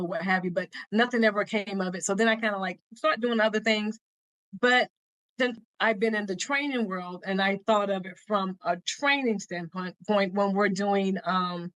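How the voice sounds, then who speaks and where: A woman's voice: mid-range voice, fairly bright tone, no room sound, low-quality recording. A middle-aged woman co-hosting a casual advice podcast, talking encouragingly and directly to her listeners. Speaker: co-host.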